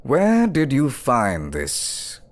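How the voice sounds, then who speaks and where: A man's voice: medium pitch, wildly swooping pitch, very slow, full character voice. A man playing a smug, scheming courtly duke in a fantasy audio roleplay, drawling, condescending and theatrical. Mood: gloating, sneering.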